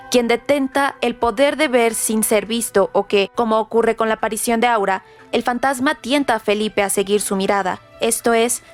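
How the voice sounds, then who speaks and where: A woman narrating scripted literary commentary in a formal, rhetorical manner. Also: a little high.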